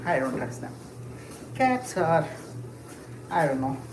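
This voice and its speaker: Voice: medium pitch, big pitch swings, slow pace. A livestreamer chatting casually with viewers while walking, musing aloud with lots of fillers and short laughs. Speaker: livestreamer answering chat. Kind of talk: casual live chat. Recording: echoey space with clear ambient noise.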